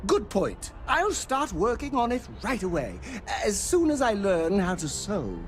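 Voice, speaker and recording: A woman's voice: medium pitch, huge pitch swings. An actress playing an obsessive, theatrically adoring female superfan of a space hero in a video game cutscene. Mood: eager, pleading. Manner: theatrical, breathless adoration, swooping from shouts to drawn-out awe. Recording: music behind the voice.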